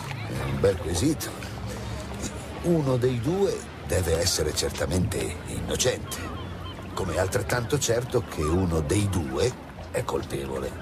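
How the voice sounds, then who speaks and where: A shrewd, genial police commissioner in a radio drama. His wry delivery is fairly low and very lively, over music. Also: takes it slowly, heavily acted. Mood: wry, pondering. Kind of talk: Italian radio drama acting.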